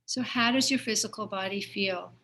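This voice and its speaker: A woman leading a guided meditation as a teacher, giving gentle, unhurried instructions with pauses between phrases. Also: mid-range voice, poor audio.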